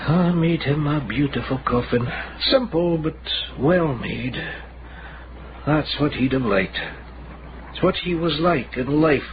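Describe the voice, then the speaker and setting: An actor playing a weary, kindly old Scottish coffin maker in a radio drama, with halting, wryly morbid talk. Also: male voice, a little high. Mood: mournful. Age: older. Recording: dull, noisy radio drama recording.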